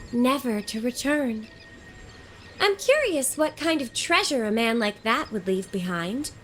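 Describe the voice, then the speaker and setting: A woman playing a gentle, curious young woman in a video game, telling legends in a deliberate, formal storybook manner. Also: sits high, slight background noise, rough recording. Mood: curious, intrigued.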